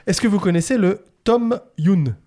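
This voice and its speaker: A man's voice: sits high, mellow. A man hosting a playful food quiz with chatty banter, carefully spelling out names and answer options. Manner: slowly enunciates dish names and answer options. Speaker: quiz host on a food show.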